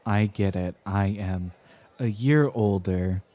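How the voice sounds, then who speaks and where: A man playing a flustered, dramatic, tipsy young scholar in an ASMR roleplay, enunciating carefully with halting, theatrical flourishes and an affectionately defensive temper. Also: on the low side, dark tone. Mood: grudging, resigned. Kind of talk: ASMR roleplay, acted one-sided conversation.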